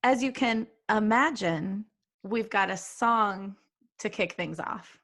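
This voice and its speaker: A woman's voice: mid-range voice, takes it slowly, a bit bright. A woman co-hosting a live podcast stream on a video call, playful and teasing. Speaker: podcast co-host. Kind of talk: live-stream casual chat.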